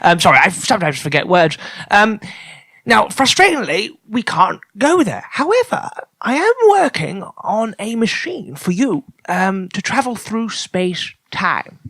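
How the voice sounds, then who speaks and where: A man's voice: high-pitched, big pitch swings, a little slow, a bit bright, no echo. A man in improvised comedy talk, bumbling politely and stuttering over his words. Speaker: alien-radio translator expert.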